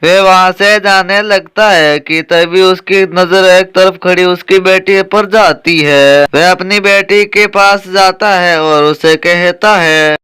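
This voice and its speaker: Cartoon story narrator, really crisp smooth voice, takes it slow. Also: medium pitch, steady tone, fairly bright tone.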